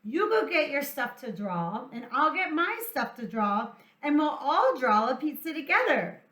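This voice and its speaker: A woman's voice: high-pitched, sing-song, unhurried, a bit bright, echoey room. A woman hosting a playful children's storytime, speaking to young children in an encouraging, sing-song way as they draw along. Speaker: children's storytime teacher and host.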